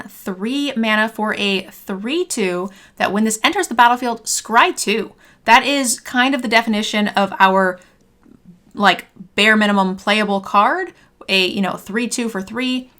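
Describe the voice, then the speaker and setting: A young woman hosting a card game review, a bit hoarse as her voice is failing, talking in an upbeat conversational way. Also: mid-range voice, a bit bright. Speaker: host. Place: home streaming room, indoors.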